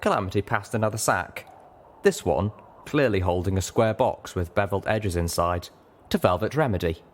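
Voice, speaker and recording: A man's voice: on the low side, animated, moderate pace. A man reading a scripted first-person story as an audiobook narrator.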